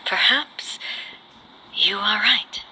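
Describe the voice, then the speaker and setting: A woman voicing a regal, composed ruler in a video game, speaking in a formal, measured, restrained way. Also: medium pitch, pushed and bright, slight background noise, full character voice, rough recording. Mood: somber. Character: regal, composed female ruler, solemn and courteous.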